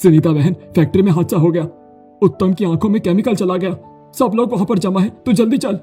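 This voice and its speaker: A distressed village man in a cartoon , played by a man. He is urgent and agitated, in a loud, mellow voice over faint music. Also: sits high, brisk, lightly acted. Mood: alarmed. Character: distressed village men, alarmed and pleading. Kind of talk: Hindi cartoon story dialogue.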